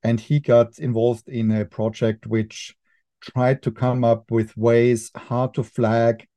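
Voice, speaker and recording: A man's voice: medium pitch, little pitch movement, takes it slowly, dark tone, no room sound. A middle-aged man with an Austrian accent, a filmmaker guest on a podcast, explaining thoughtfully with frequent restarts and fillers. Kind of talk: podcast interview.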